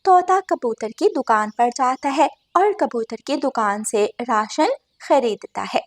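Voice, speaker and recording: High-pitched woman story narrator. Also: expressive, measured pace. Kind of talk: scripted cartoon story narration.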